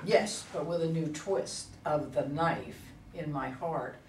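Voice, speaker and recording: A woman's voice: low voice, slow pace, darkish tone, a little hiss or hum. A woman playing a proud, combative older female politician in a play reading, with an indignant, emphatic delivery. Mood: bitter. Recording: echoey room, far from mic.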